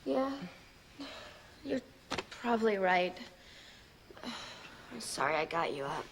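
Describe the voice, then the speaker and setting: A woman's voice: mid-range voice, very animated, slow, vocal fry, heavily acted. A woman playing a strong, self-assured young heroine in a television drama, direct and conversational. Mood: subdued. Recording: noisy TV soundtrack.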